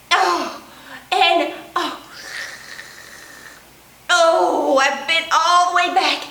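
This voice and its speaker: A very high, bright little penguin, as a woman puts it on, fussing dramatically and drawing out its sighs in a slow, unhurried way. It talks straight to the children at home in a storytime puppet play, and the sound carries a roomy echo. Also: heavily acted. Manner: dramatic, sighing, talks to the audience. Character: fretful little penguin with hiccups.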